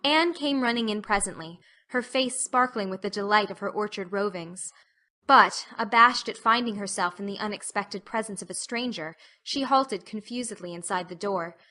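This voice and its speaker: A woman narrating a literary audiobook as an expressive storyteller. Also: medium pitch, normal speed.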